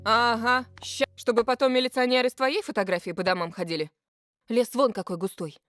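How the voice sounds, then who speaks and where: A woman's voice: a little high, steady tone, fast talker, a bit bright, dry recording, natural voice. A woman playing a weary, irritable mother in a visual novel, with a scolding, sarcastic manner. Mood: sarcastic, worried. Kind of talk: voice acting in a Russian visual novel. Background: game music.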